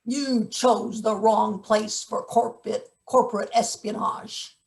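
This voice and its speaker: A woman's voice: fairly high pitch, fairly even intonation, takes it slowly, creaky, darkish tone. A woman playing a menacing, sardonic late middle-aged maintenance worker in a table read of a satirical play. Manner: sardonic, interrogating, theatrical threats.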